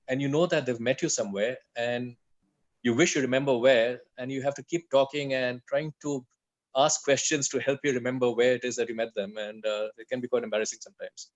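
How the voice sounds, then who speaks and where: A man's voice: medium pitch, steady tone, a little fast. A man who grew up in India, a guest on an aviation talk, telling easygoing self-deprecating stories over a video call. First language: English. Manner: chatty, self-deprecating storyteller. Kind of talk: casual conversation on a video call. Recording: dull lo-fi call audio.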